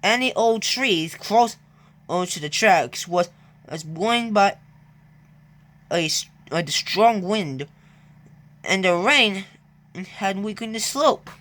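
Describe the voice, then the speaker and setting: Children's story narrator, halting reading, slow pace, bright tone, expressive pitch swings.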